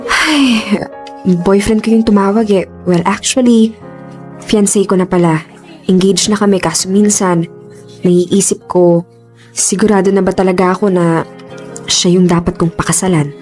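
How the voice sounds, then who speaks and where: A woman's voice: medium pitch, fairly even intonation, normal speed, long pauses, darkish tone, lightly acted. An actress playing a composed but caring young female office boss in a Filipino radio drama, speaking earnestly with some English mixed in. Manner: earnest, conversational, mixes English into speech. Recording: music under the voice. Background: background music.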